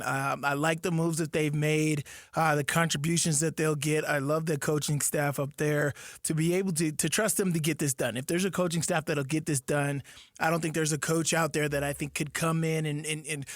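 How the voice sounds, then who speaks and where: Animated sports radio co-host, man. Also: a little high, dry recording, clean studio sound. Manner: animated, laughs often, many fillers. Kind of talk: casual sports talk.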